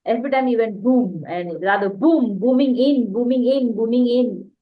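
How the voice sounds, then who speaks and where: A woman's voice: a little high, normal speed. A woman from an audience giving casual spoken feedback. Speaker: audience member. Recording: dull, lo-fi sound.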